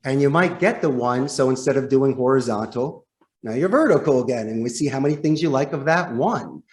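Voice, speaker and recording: A man's voice: mid-range voice, lively intonation, a little fast. A man speaking as a podcast guest and sales trainer, explaining phone technique emphatically and putting rhetorical questions straight to the listener. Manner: emphatic, demonstrative, acts out example phone lines.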